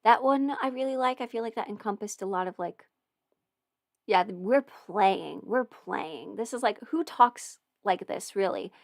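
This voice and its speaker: A woman's voice: medium pitch, lively intonation, unhurried, gravelly, no room sound. Casting: young adult woman, chatty and rambling, laughs a lot, casual swearing, dry close-mic sound. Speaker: audio performer answering viewer questions. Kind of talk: casual livestream chat.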